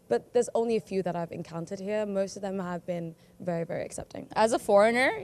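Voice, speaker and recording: A woman from India answering a street interview in a playful, animated way, mixing in Korean words. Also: mid-range voice, slight background noise.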